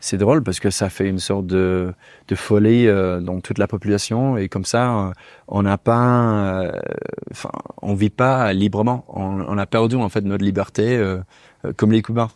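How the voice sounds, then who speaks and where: English man speaking halting French. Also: fairly low pitch, fairly even intonation, darkish tone, no room sound, studio quality. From England. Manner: hesitant, searching for French words, many restarts. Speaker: guest. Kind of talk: casual conversational interview. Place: small indoor studio with couch. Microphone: lapel mic.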